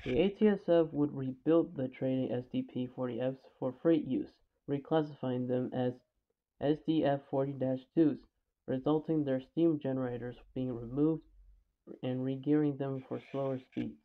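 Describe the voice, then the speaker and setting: A man's voice: mid-range voice, steady tone, normal speed. A man reading scripted documentary narration, occasionally stumbling over the script. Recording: tinny, phone-like narrow band.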